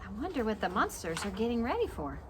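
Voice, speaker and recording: A woman's voice: a little high, very animated, fast talker, a little hiss or hum. A woman preschool teacher reading a storybook aloud and adding simple explanations and questions for young children.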